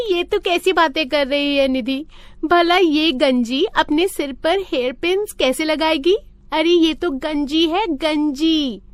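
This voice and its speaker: Woman as a shrill, bossy little schoolgirl. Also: slow pace, dry recording. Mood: mocking. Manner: taunting, pert. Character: mocking young schoolgirl, bossy and touchy.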